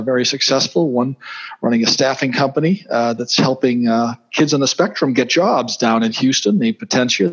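A man's voice: mid-range voice, slightly rough, dull audio. A middle-aged man from Boston with a Boston accent, a guest in an interview, talking casually with many fillers and restarts. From Boston, USA.

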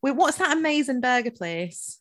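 A woman's voice: high voice, sing-song, moderate pace. A Scottish woman co-hosting a podcast, chatting casually and joking often. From Scotland. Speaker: podcast co-host.

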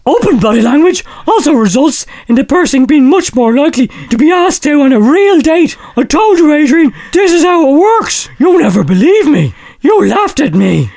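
A man's voice: very high voice, sing-song, normal speed, vocal fry, few pauses, darkish tone, heavily acted. A man voicing a smug, boastful old friend reading out his patronising comic letter. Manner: patronising, self-satisfied.

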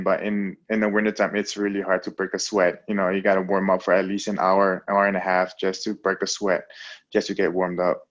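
A man's voice: fairly low pitch, lo-fi sound. A young man from American Samoa, a pro fighter, talking in a laid-back, hesitant way on a remote call. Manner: laid-back, hesitant, many fillers and restarts. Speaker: professional fighter, guest. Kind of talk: casual interview.

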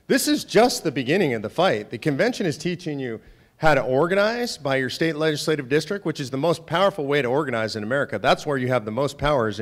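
A man with a loud voice, president of an organization, speaking to volunteers and lawmakers in a meeting room. He talks conversationally and emphatically, often tagging points with "right?". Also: on the high side. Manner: conversational, emphatic, rhetorical "right?" tag questions. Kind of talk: speech with audience questions. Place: meeting room with a live audience.

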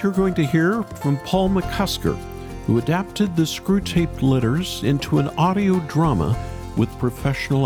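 An American man hosting a radio interview programme, introducing things and putting questions to a guest. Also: medium pitch.

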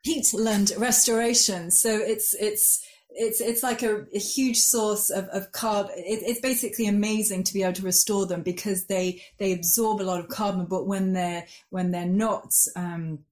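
A woman's voice: mid-range voice, steady tone, moderate pace, darkish tone. A woman from Switzerland who works as a life coach and part-time lawyer. She talks through her answers thoughtfully, with frequent restarts and "um"s, over a video call. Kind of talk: conversational interview answers.

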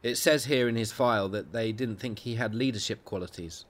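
Guy playing a smug old-school hospital consultant, talks up high, clipped and sarcastic. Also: fairly quick, close to an everyday voice. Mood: amused. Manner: sardonic, clipped and dismissive. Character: sardonic senior male doctor, brisk and condescending.

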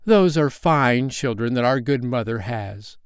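A dignified, haughty old duck of high standing, as a man puts her on for a storybook. She speaks in a grand, condescending way with a lively, varied pitch. The sound is close and dry. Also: measured pace, smooth, no room sound.

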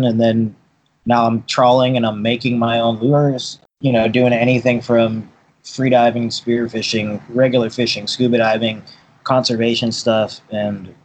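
A man's voice: mid-range voice, a little mellow, husky, rough recording. A man who grew up in Boston, a dive boat captain, telling stories in an easygoing way as a guest on an interview. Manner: easygoing storyteller, repeats words as he thinks.

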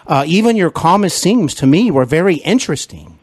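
A man's voice: a little high, lively intonation, a little fast, slightly rough, dry recording. A man hosting an interview, asking long rambling questions full of fillers and restarts. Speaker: podcast interviewer and actor.

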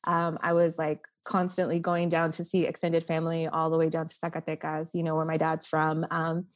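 A woman's voice: deep voice, monotone, brisk. A young woman from Oklahoma City, a campus pastor, talking earnestly and reflectively as a podcast guest, with many "like" and "um" fillers. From Oklahoma City, USA.

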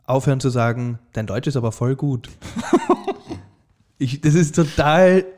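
A man's voice: medium pitch, a little mellow. A young man with an Austrian accent, co-hosting a casual podcast chat, often stumbling over his words and breaking into laughter. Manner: halting, restarts sentences, laughs often. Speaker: podcast co-host.

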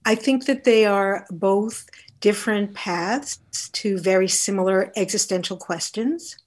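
A woman speaking as an interview guest who teaches philosophy, telling reflective stories in a measured, deliberate and articulate way. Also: mid-range voice. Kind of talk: reflective interview conversation, storytelling.

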